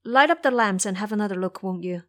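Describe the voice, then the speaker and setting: A woman voicing a cautious, stern male Buddhist master in an audio drama, hushed, formal and admonishing. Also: mid-range voice, fast talker, lightly acted. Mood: polite.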